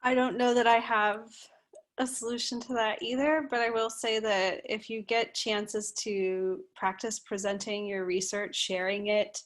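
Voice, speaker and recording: Woman, panelist, reflective, practical, soft-spoken, mid pitch. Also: steady tone, unhurried. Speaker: webinar panelist. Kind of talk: casual panel answer.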